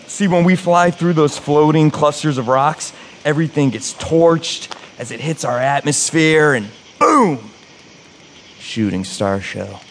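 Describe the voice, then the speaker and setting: A man's voice: fairly high pitch, takes it slowly, a little hiss or hum. A man playing a skeptical, nerdy young camper who explains things with wry teasing, in a live horror audio drama. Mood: lecturing, wry.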